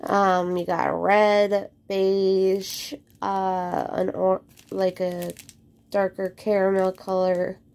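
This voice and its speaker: A woman talks unhurriedly at an everyday middle pitch, sounding a little stuffy from a cold. She rambles along in a relaxed way, with plenty of ums and soft trailing-off phrases, while her phone camera films the table in her home craft room. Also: slow pace, vocal fry. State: slightly congested from a cold. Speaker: crafting vlogger. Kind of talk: casual unboxing chat.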